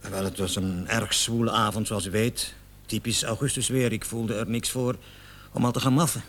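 A man's voice: lowish voice, steady tone, normal speed, fairly bright tone, slight background noise, lightly acted. An actor in a radio play, a man playing a cocky travelling salesman in his thirties, defensive and hesitant under police questioning. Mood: hesitant, explaining. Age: 30s. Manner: hesitant, stammering, protesting with nervous chuckles.

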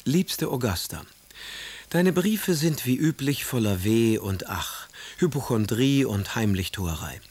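An actor playing a proud, sardonic exiled poet in a radio drama, speaking in a literary, declaiming manner. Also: male voice, medium pitch, big pitch swings, measured pace, darkish tone, slightly rough, dry recording, heavily acted. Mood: wry, sardonic. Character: Romantic exiled poet, proud, sardonic, brooding husband and brother. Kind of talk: German radio drama, letters and verse.

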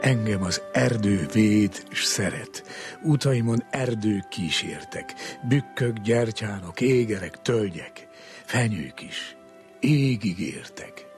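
A man reciting poetry solemnly, in a measured and expressive way. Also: on the low side. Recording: voice over music.

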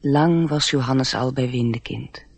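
A man's voice: medium pitch, measured pace, gravelly, husky. A man narrating a radio play in a scripted, literary storytelling style.

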